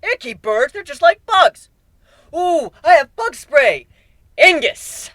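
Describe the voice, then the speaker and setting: A crazed young griffin fighter from a fantasy story, very high and bright. The delivery is sing-song baby talk that swoops wildly, gleeful and taunting. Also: male voice, big pitch swings, slow, dry recording, studio quality, exaggerated voice. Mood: manic glee. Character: gleeful, childishly mad young griffin warrior taunting monsters. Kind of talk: dramatic fantasy story reading.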